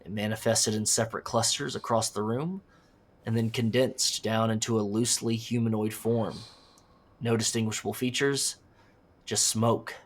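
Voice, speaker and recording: A man's voice: mid-range voice, natural voice. A man playing a flustered, underprepared agent in an audio drama, hesitant and muttering as he conducts an interview. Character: anxious, underprepared male agent interviewing dangerous entities. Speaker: field interviewer. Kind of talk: scripted audio drama dialogue.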